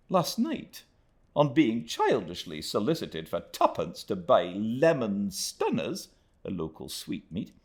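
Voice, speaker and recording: A man's voice: mid-range voice, pitched above the performer's own voice. A man voicing a distressed, effusive middle-aged wife who writes a florid, imploring letter.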